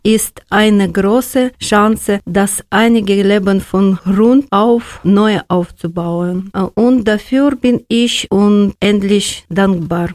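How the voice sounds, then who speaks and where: A woman reads a prepared statement slowly and carefully, pausing over small slips. The recording is close and dry, with no room sound. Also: medium pitch, darkish tone, no echo. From Ukraine. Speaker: speech therapist giving testimony. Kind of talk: read personal testimony on radio.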